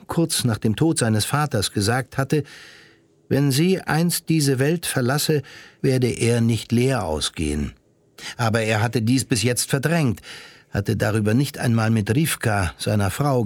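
Male narrator for a radio play, scripted storytelling, medium pitch, dry studio sound. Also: no echo. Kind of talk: scripted audio drama narration.

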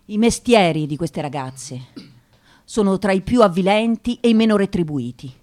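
A thoughtful woman journalist narrates a radio drama, played by an actress. Her voice sits at an average pitch for a woman, and she tells the story in a measured, scripted way. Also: expressive, a little mellow, close to an everyday voice. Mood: sober, descriptive.

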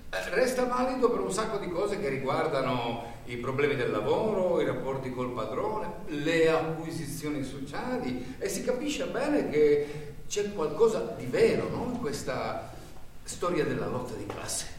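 A man's voice: fairly high pitch, sing-song, measured pace. An actor playing a rambling, earnest working-class man in a stage monologue, stammering, repeating himself and searching for words. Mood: earnest, groping. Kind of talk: theatrical stage monologue in a radio broadcast. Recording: very echoey stage, distant mic, faint noise.

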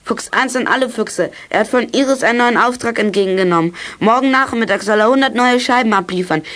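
A woman's voice: on the high side, brisk, fairly bright tone, close to an everyday voice. A woman playing an eager child detective who leads a club in a youth radio play. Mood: brisk, businesslike.

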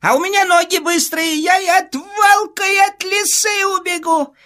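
A woman's voice: very high-pitched, steady tone, normal speed, bright, forceful tone. An actress voicing a cheeky, knowing young male hare in a children's audio play, chatting and explaining things in a colloquial, reassuring way.

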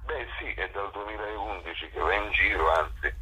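A man's voice: low voice, normal speed, pushed and bright, breathy edge. A man, a theatre author and actor, talking at length as a guest in a radio interview, rambling with many fillers and restarts. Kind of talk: radio interview, discursive talk.

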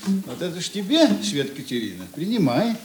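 An actor playing a breezy, bossy, generous writer in a radio play, chatting and interjecting jovially. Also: male voice, sits high, sing-song, moderate pace. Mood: bustling, generous. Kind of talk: Russian radio play acting.

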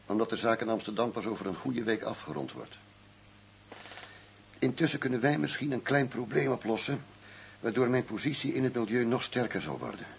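An actor playing a blunt, world-weary middle-aged undercover detective in a radio drama. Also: male voice, mid-range voice, lively intonation, a little fast. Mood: confident, scheming. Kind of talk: Dutch radio drama acting. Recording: radio play with clear background hum.

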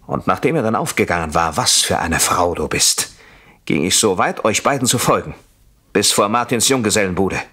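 An actor in a radio play playing a jealous, coldly sardonic husband with a mockingly polite, deliberate manner. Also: male voice, low voice, expressive, bright, forceful tone, slightly rough, full character voice. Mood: mocking, menacing.

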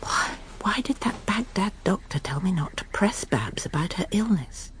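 A woman's voice: mid-range voice, sing-song, normal speed, whispered, lightly acted. An actress playing a proper, self-satisfied middle-aged wife and mother in a radio drama, prim and clipped, anxiously reassuring herself. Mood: troubled, brooding. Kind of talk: radio drama acting, dialogue and inner monologue.

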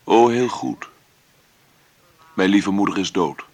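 An actor playing a wry, protective grown son in mourning in a radio drama, gruffly affectionate in manner. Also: male voice, deep voice, slow, darkish tone. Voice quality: gravelly, husky. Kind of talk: naturalistic radio drama dialogue.

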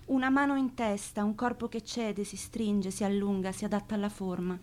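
A woman's voice: mid-range voice, fairly even intonation, normal speed, a little mellow. Woman reciting a scripted stage piece, mid-range voice, theatrical delivery. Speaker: performer in a spoken theatre piece.

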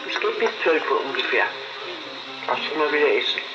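A man's voice: medium pitch, lively intonation, a little fast, muffled recording. A man talking casually and spontaneously in conversation, in an echoey recording. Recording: echoey, with loud music.

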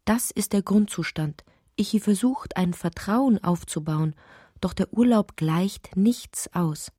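A woman narrating a literary audio drama in a breathy voice that often drops toward a whisper, reciting deliberately and poetically. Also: mid-range voice, warm tone, no room sound, studio quality.

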